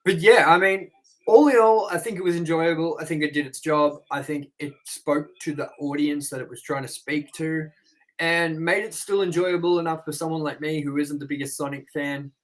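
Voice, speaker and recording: A man's voice: a little high, rough recording. A man co-hosting a casual movie review chat, rambling with lots of fillers and "you know". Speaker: co-host.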